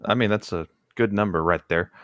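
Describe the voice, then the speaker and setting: Deep-leaning, rambling man in a dry recording. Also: brisk, a bit bright, dry recording, dull audio. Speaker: co-host of a book read-along show. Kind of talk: casual chat mixed with reading a novel aloud.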